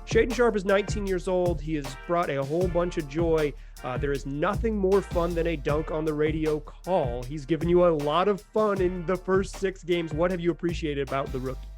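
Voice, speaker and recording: A man's voice: a little high, normal speed, hardly any pauses, a little mellow, faint music behind. An American man hosting a sports podcast in an informal, chatty manner, talking casually. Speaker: podcast host.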